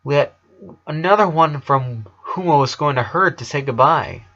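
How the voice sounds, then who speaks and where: A man's voice: on the high side, lively intonation, a little slow, sharp tone, highs cut off. A man reading a story aloud as narrator, in an amateur scripted style.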